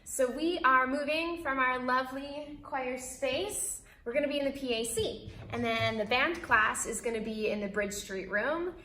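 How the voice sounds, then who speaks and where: A woman's voice: high voice, normal speed, hardly any pauses, bright, forceful tone, a little hiss or hum. A woman choir teacher talking informally in an interview. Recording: echoey room.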